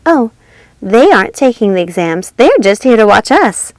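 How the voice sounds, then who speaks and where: A woman voicing a haughty, prim young female fairy student with a shrill, gloating manner. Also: very animated, normal speed, dry recording. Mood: smug.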